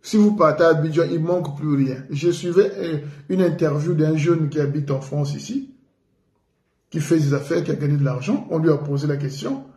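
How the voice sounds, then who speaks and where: Congolese man on radio commentary, indignant and emphatic, mellow tone, roomy echo. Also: a little high, moderate pace. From Republic of the Congo. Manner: indignant, emphatic, repeats for stress. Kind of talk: political commentary monologue. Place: living in France.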